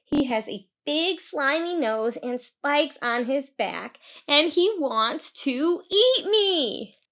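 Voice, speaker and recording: A woman's voice: sits high, animated, takes it slowly, fairly bright tone, voice pushed up, full character voice. A woman voicing a frightened young boy on the run, urgent and pleading, in a storybook. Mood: earnest, fearful.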